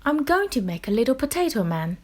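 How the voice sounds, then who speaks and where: Woman doing a curious happy little grandson in a picture book, eager, bit husky. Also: on the high side, very animated, brisk, voice pushed up. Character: young grandson, cheerful, curious and affectionate.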